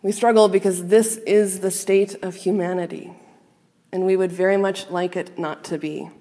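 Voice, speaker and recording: A woman's voice: medium pitch, fairly even intonation, warm tone. Earnest woman preaching in a reverberant hall. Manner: measured, earnest, reflective with pauses. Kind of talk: sermon. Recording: long reverb of a large hall.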